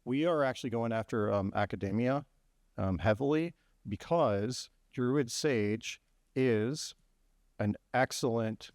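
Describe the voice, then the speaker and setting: A man on a conference panel answering a question about his AI tool, halting often and restarting sentences. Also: mid-range voice, darkish tone, no echo, clean studio sound. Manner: halting, many ums and restarts.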